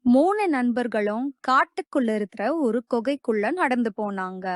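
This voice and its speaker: Higher-pitched woman narrating a children's story. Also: animated, moderate pace, dry recording, studio quality.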